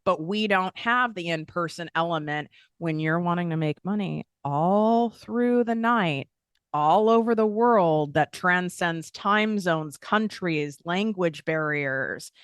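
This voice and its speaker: A woman's voice: lowish voice, slow pace, clear, resonant tone, no room sound, studio quality. A woman hosting a motivational business podcast, talking candidly and with energy, acting out doubtful inner voices and laughing at herself. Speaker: podcast host and business coach. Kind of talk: motivational solo podcast talk.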